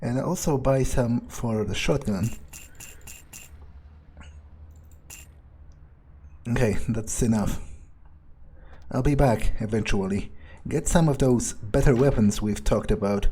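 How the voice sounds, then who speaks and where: Guy doing a cruel haughty vampire for a game, slow n halting, dark raspy voice. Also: mid-range voice. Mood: musing. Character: arrogant, cruel, brooding male vampire. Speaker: role-playing game streamer. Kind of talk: in-character game playthrough monologue.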